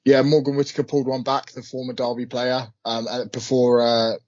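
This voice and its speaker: A man's voice: medium pitch, flat delivery, a little fast, a little mellow, no echo. A man giving casual football analysis on a podcast, hesitant with many ums, in a glum mood, over a call. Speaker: podcast co-host. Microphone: remote call microphone. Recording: muffled dry call audio.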